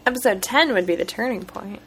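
Bright-voiced teasing woman co-host. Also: medium pitch, a little fast, slightly rough. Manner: teasing, sarcastic, laughs often. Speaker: podcast co-host. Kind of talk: casual podcast chat.

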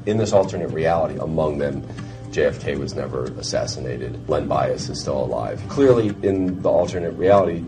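American male, extremely deep register, explanatory interview commentary, clear music bed underneath. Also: animated, fairly quick. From United States. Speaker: television producer interviewed about a show. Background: background music.

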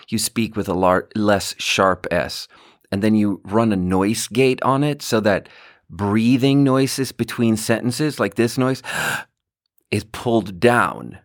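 A man's voice: mid-range voice, no room sound. A man hosting a casual solo podcast, talking in a rambling way, correcting himself and chuckling now and then, close to the mic in a small home studio. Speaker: podcast host.

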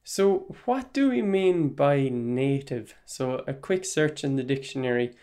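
Irish man, podcast host, unhurried delivery, measured, explanatory tone. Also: medium pitch, faint music behind. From Ireland. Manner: measured, explanatory, even-tempered. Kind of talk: solo podcast monologue.

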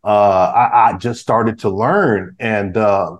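A man's voice: fairly low pitch, takes it slowly. A man in his forties, a podcast guest, chatting casually with lots of restarts, fillers and slang. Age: 40s. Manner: rambling, many restarts and fillers; uses street slang. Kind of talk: casual chat.

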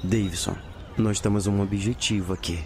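Um ator interpretando um guerreiro severo e dedicado ao dever num audiodrama de fantasia, com fala solene e firme. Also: male voice, fairly low pitch, fairly even intonation, normal speed, background noise, close to an everyday voice. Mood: stern. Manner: solemn, firm. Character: stern dutiful male warrior guarding the frontier. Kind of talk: fantasy audio drama acting. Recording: clear outdoor nature ambience behind.

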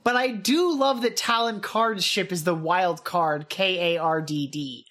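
A woman's voice: on the low side, a little slow, a bit bright. A woman co-hosting a podcast, chatting casually and teasingly like an enthusiastic fan. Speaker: podcast co-host. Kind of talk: casual banter podcast chat.

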